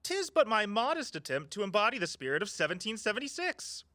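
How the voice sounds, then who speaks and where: An actor playing a playful, theatrical tour guide with a flowery turn of phrase in an audio drama. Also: male voice, high voice, big pitch swings, a little fast, sharp tone, no room sound, clean studio sound, heavily acted. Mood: grandiose, playful. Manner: grandiose mock-archaic speech.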